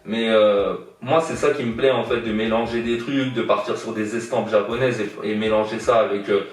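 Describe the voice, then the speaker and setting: A man's voice: fairly low pitch, steady tone, normal speed. A young man born in Iran and raised in France, a guest artist answering interview questions casually, hesitant, with many fillers and repeated words. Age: young adult. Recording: echoey room, distant from mic.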